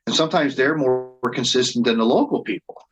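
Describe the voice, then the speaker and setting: A man's voice: medium pitch, fairly quick, muffled recording, over music. A man who leads a band, telling stories in a casual interview and often restarting and repeating words as he goes. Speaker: band leader and reenactor being interviewed.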